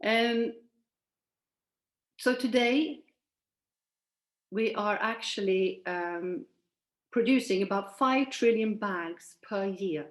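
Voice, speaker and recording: A woman in her sixties from Sweden, giving an earnest, measured presentation over a video call with some hesitations. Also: mid-range voice, reverberant. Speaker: presenter at an online event. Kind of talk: presentation from shared slides.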